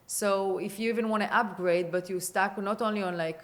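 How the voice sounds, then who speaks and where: A woman with an Israeli accent talks real estate in a relaxed podcast conversation. She is chatty and quick, often restarting her sentences and leaning on fillers. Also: mid-range voice. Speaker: real estate agent guest.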